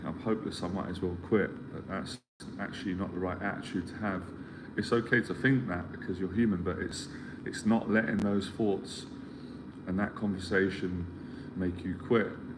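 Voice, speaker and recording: A man's voice: sits low, fairly even intonation, normal speed. A middle-aged man giving a motivational talk as a life mentor, earnest and rambling, often repeating himself as he searches for words. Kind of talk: motivational live stream talk. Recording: clear background ambience noise.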